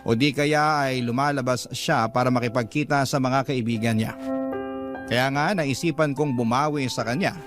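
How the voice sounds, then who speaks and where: A man's voice: medium pitch, moderate pace, natural voice. An actor playing a troubled boyfriend who recounts his relationship as scripted first-person radio drama narration. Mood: reflective, troubled. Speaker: narrator of a letter sender's story. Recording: music bed behind narration.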